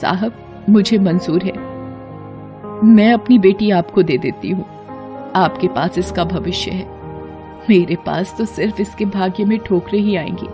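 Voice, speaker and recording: A woman voicing a poor widowed mother, humble, devoted and sorrowful, with a pleading, halting delivery in a moral story. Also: mid-range voice, music in the background, natural voice. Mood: humble resignation. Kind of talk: acted dialogue in a moral story.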